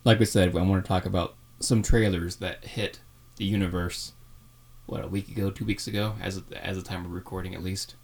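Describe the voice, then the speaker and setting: Gravelly low-voiced podcast host. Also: male voice, fairly even intonation, a little fast, a little mellow. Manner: rambling, with many fillers and restarts. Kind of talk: casual podcast chat.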